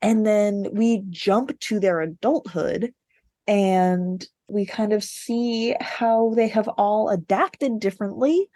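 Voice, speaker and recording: Quick-talking woman chatting casually about books. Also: mid-range voice, a little mellow, no echo. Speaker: podcast co-host discussing books. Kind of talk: casual book chat.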